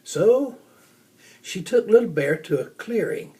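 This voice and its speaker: An older man reading a children's story aloud in an expressive storytelling manner. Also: sits high, takes it slowly, a little hiss or hum. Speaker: storybook reader.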